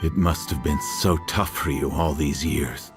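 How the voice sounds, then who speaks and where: Gentle, deep-voiced older villager. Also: male voice, measured pace, over music. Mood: gentle, concerned. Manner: gentle, considerate. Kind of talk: video game voice acting.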